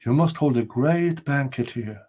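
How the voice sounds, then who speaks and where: A man's voice: mid-range voice, unhurried, dark tone, husky, voice pushed up. A man voicing a grey-robed female spirit from a folktale, giving solemn, commanding pronouncements. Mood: solemn, instructing.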